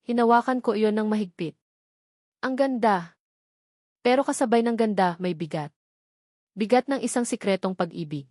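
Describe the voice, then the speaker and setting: A woman reading a scripted first-person dramatic story in measured, short phrases. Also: medium pitch, darkish tone, no echo, clean studio sound. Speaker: story narrator.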